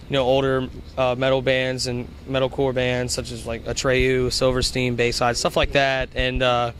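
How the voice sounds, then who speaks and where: A man's voice: mid-range voice, fairly bright tone, a little hiss or hum. A 21-year-old man, a band's vocalist, giving casual rambling interview answers full of "you know" and "uh". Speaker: band vocalist. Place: outdoors at a music venue.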